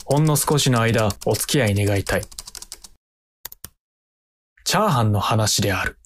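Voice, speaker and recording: A man's voice: on the low side, unhurried, noisy background, lightly acted. A man playing an earnest, overthinking protagonist, narrating in formal literary phrasing for a radio drama. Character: earnest, brooding man who overthinks everyday meals. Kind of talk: scripted first-person radio drama narration.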